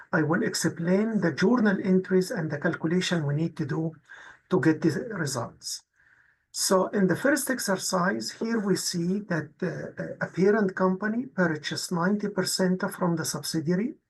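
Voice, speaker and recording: A woman instructor with a husky voice, explaining worked exercises methodically in a tutorial narration. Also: mid-range voice, rough recording. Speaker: accounting instructor.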